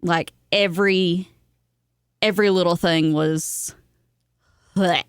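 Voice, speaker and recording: A woman co-hosting a casual podcast chat about films, talking in a candid, expressive way. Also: lowish voice, slow, smooth, no room sound, studio quality. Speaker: podcast co-host.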